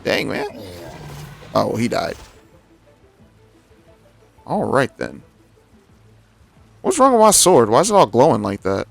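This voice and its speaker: A man's voice: mid-range voice, huge pitch swings, takes it slowly, gravelly, pauses often. A man giving casual, slangy gameplay commentary, talking to himself and his viewers. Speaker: game streamer. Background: video game music.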